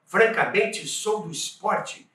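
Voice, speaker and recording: A Brazilian man narrating as the host of a radio history show, speaking in an emphatic, deliberate way. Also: high-pitched, sharp tone. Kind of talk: storytelling narration. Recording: echoey room, distant from the mic.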